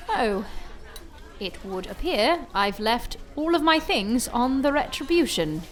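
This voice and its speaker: A high-voiced, imperious rich heiress in a sci-fi audio drama. Her diction is formal and posh, and her pitch swings widely with indignation. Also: very animated, slow pace, some chatter behind. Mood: haughty. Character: haughty, wealthy heiress, indignant over her ruin. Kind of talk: scripted audio drama acting.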